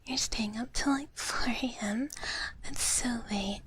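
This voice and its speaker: A woman streamer whispering softly and gently to her viewers in a breathy, caring ASMR style, close to the microphone. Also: a little high, slow pace, background noise. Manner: refers to self in third person. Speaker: live streamer.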